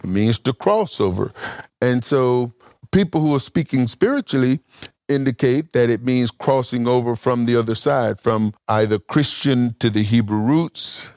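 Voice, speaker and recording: A man's voice: medium pitch, moderate pace, a little mellow, no room sound, clean studio sound. A man teaching a congregation about the Bible, asking questions aloud and thinking through his answers in short broken phrases.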